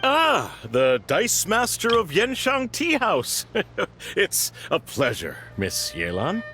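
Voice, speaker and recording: A man's voice: high-pitched, wildly swooping pitch, takes it slowly, pushed and bright, faint music behind, exaggerated voice. An actor playing a suave, genteel male antique collector in a video game, speaking with florid, courtly politeness. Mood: smoothly flattering. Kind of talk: anime video game voice acting.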